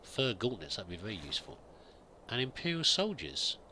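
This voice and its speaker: Bright-voiced man musing through a game. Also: lowish voice, big pitch swings, moderate pace, a little hiss or hum. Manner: muses aloud, hesitant, many restarts. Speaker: let's play gamer roleplaying a pilgrim. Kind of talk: in-character gameplay commentary.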